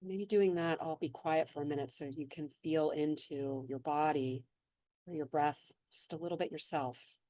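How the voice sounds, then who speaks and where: A woman slowly and gently leading a guided meditation, speaking in a soothing way. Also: sits low, no echo. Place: seated in an office chair.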